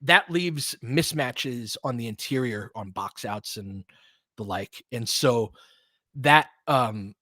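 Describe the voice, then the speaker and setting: A man's voice: mid-range voice, slow, pushed and bright, no echo. A man hosting an informal live play-by-play, commentating and analysing in a conversational, fan-like way as he chats with listeners. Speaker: live audio play-by-play host.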